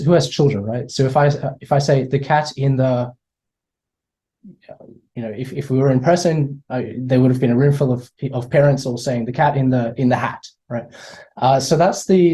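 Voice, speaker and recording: Brief: Australian man in his thirties, mellow dark voice, hesitant online lecturer who stumbles and repeats himself. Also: medium pitch, breathy edge, low-quality recording. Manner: hesitant, repeats words, many fillers. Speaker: guest speaker. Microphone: video call.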